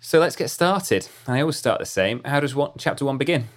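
A man's voice: medium pitch, animated, a little fast. A man hosting an interview, introducing his guest in a formal, scripted way. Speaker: podcast host.

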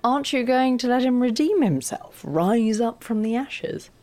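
An actress playing an anxious, blunt, sharp-witted writer in a naturalistic audio drama, with a dry, fretful manner. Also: female voice, fairly high pitch, lively intonation, normal speed. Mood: wry. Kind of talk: naturalistic audio fiction acting.

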